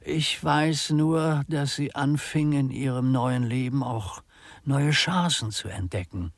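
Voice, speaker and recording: A proper anxious undertaker, secretive, husky mid voice, dry close sound, radio drama. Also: male voice, medium pitch, takes it slowly, no room sound. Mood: quiet, solemn. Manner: stammering when flustered, formal and polite. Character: anxious, earnest small-town male undertaker, secretive and proper. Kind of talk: German radio play acting.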